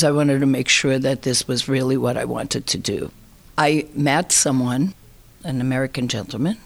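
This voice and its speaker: A woman's voice: a bass voice, no echo. Woman, 60s, Brazilian accent. Conversational interview delivery, reflective, recounting personal experience. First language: Portuguese. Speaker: interviewee, immigrant.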